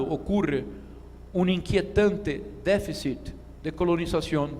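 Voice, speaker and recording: A man with a Brazilian Portuguese accent, a native Portuguese speaker, reads a formal academic lecture in deliberate, measured phrases. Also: fairly high pitch, darkish tone. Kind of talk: formal lecture read from a text. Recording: echoey hall with clear background noise.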